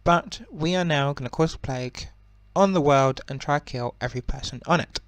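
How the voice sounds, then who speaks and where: A young man with a croaky voice giving relaxed, rambling commentary on a strategy game, with many ums and self-corrections. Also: a little high, brisk, no echo. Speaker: gaming channel host.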